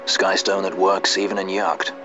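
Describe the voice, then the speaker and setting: A man's voice: low voice, little pitch movement, moderate pace, natural voice. A man playing a suave, cynical sky pirate in a fantasy video game, wry, nonchalant and a touch theatrical. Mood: wry, cool. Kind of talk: video game drama acting. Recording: muffled game audio under clear music.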